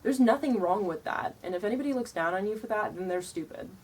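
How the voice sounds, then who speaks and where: A young woman with a deeper voice giving frank, chatty advice to camera, sounding tired, with many ums and likes. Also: animated, fast, darkish tone, recorded from a distance, rough recording. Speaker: vlogger giving reenactor tips. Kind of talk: casual advice talk to camera.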